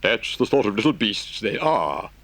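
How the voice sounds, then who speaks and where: A man's voice: fairly low pitch, big pitch swings, moderate pace, a bit bright, no room sound, voice pushed up. A man voicing an earnest, indignant water rat in an audiobook, emphatic and dramatic as he speaks to a friend. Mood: grave, confiding. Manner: emphatic, scolding, dramatic storytelling. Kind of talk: audiobook character voice.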